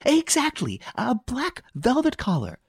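Shrill stammering frantic lady, as a man reads her. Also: moderate pace, vocal fry, dry recording, studio quality, heavily acted. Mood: frantic, breathless. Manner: stammering, panting, high and excited. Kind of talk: audiobook character voice.

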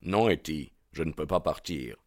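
A man's voice: very deep voice, animated, normal speed, a little mellow, no echo, clean studio sound. A man voicing a bold, ardent young man in an audiobook, proud and insistent in his delivery. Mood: resolute. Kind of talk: audiobook character dialogue.

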